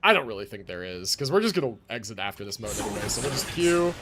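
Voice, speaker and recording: A man's voice: lowish voice, sing-song, quick pace, heavy background noise. A man doing casual live game commentary, thinking aloud in slangy, sweary language. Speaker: game livestreamer. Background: game music.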